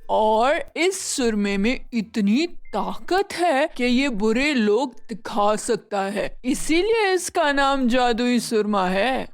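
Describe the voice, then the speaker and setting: Woman acting a gracious, unhurried fairy queen. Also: fairly high pitch, lively intonation, dry recording, soft background music, pitched below the performer's own voice. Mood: calm, explaining. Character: kind, regal queen of the fairies.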